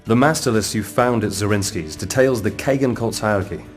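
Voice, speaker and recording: An actor playing a matter-of-fact field agent in a video game, giving an informal, businesslike briefing. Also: male voice, fairly low pitch, a little fast, soft background music, close to an everyday voice. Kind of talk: video game character acting.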